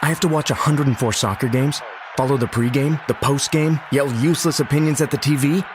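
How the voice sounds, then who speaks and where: A man's voice: mid-range voice, fairly even intonation, normal speed. A man with an American accent delivering a scripted advertisement read. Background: music.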